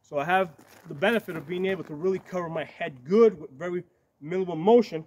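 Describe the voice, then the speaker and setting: A man's voice: high-pitched, fast talker, darkish tone. A man in his forties giving a casual, hands-on demonstration to camera outdoors, explaining step by step and often checking in with "right?" or "okay?". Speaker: medieval combat fighter demonstrating shields. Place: outdoors on a gravel yard by woods. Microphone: camera a few metres away. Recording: noisy outdoor camera audio.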